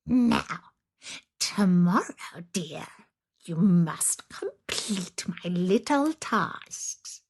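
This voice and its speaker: A woman voicing a menacing old witch with a husky, breathy voice and slow, mock-kindly threats, in a storybook style. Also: fairly low pitch, lively intonation, a little mellow, exaggerated voice. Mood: bossy, mock-kind. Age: older. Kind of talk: storybook character acting.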